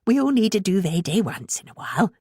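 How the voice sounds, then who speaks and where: A woman's voice: medium pitch, very animated, fast, warm tone, slightly rough, no echo, studio quality. A woman reading a protective, earnest mother in a storybook, with a British English accent. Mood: pleading.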